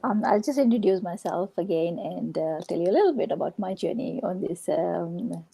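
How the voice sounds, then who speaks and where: Husky, gravelly woman from Fiji. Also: lowish voice, expressive, normal speed, warm tone. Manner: hesitant, many fillers. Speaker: guest sharing her story. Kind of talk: casual live-stream chat.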